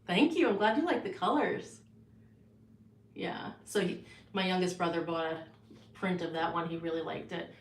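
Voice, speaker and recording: A woman's voice: lowish voice, measured pace, pauses often, reverberant, a little hiss or hum. A woman artist casually showing her paintings on a livestream, rambling with many fillers and restarts, in her home art studio.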